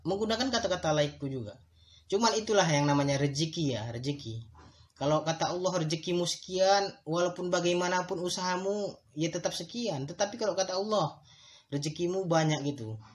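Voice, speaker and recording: A man's voice: sits high, a little hiss or hum. A man hosting a solo podcast. He explains his points in a thoughtful, instructive way, speaks directly to his listeners, and sometimes stretches words for emphasis. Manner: addresses listeners directly, with a moralizing tone. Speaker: podcast host.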